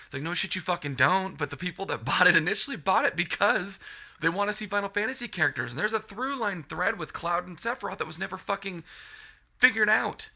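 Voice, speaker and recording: A man's voice: high voice, lively intonation, a little fast, vocal fry, bright, forceful tone. A man reviewing a video game in a casual, rambling rant, sniffly from a cold and swearing freely. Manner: frustrated, rambling, heavy swearing.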